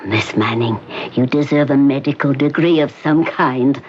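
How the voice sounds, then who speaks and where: An actress playing a doctor, speaking in a formal, measured, clipped way. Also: female voice, rumbling low, darkish tone, dull audio, a little hiss or hum.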